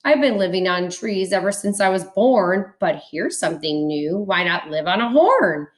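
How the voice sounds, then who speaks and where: A woman's voice: fairly low pitch, lively intonation, moderate pace, natural voice. A woman voicing a fresh, pushy, carefree little storybook bird with a chirpy, presumptuous manner. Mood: delighted.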